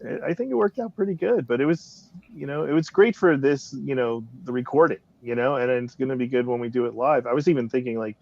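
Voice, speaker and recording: Man, gravelly mid-pitched voice, casual rambling chat, chuckling delivery, dull lo-fi audio. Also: a little fast, few pauses. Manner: rambling, punctuates talk with chuckles. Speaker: director on a production discussion panel.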